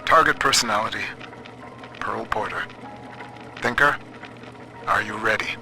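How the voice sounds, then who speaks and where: Earnest, world-weary scientist, music behind. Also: male voice, lowish voice, measured pace, creaky, bright, forceful tone, full character voice. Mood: grave, reflective. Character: earnest, world-weary male computer scientist in a dystopian city. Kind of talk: video game voice acting, radio messages and audio diaries.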